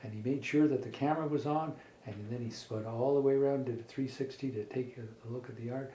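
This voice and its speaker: A middle-aged man telling a made-up children's story in a halting, folksy way, repeating words as he goes. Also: medium pitch, steady tone, mellow. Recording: echoey room with faint background noise.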